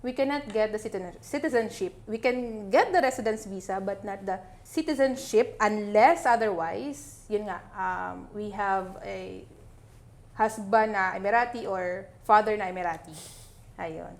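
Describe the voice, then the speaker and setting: Filipina host asking questions. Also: female voice, medium pitch, moderate pace, vocal fry. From Philippines. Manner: mixes Tagalog and English, polite po. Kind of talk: interview questions.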